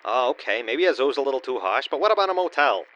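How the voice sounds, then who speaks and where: An actor playing a wisecracking, grumbling husband in a comic radio play, brash and sarcastic. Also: male voice, medium pitch, very animated, fast, a bit bright, muffled recording. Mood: exasperated, sarcastic. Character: wisecracking, grumbling sports-mad husband with a sharp tongue. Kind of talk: old-time domestic comedy radio play. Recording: faint background noise.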